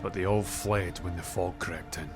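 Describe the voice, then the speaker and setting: Low-voiced, wry hill-country father played by a man. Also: steady tone, moderate pace, music in the background, lightly acted. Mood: wry, reminiscent. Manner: wry, earthy, plain-spoken. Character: gruff, weathered hill-dwelling father, wry and stubborn. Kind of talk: video game cutscene acting.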